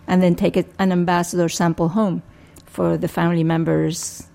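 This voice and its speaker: An older woman from Rico, a family physician answering interview questions in a measured, thoughtful way. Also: on the low side, flat delivery, gravelly, warm tone, slight background noise. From Puerto Rico. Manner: measured, thoughtful, with frequent restarts.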